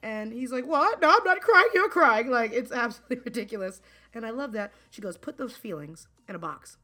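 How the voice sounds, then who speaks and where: A woman co-hosting a podcast in casual chat, giggly and animated, often acting out quotes. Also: a little high, brisk. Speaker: podcast co-host.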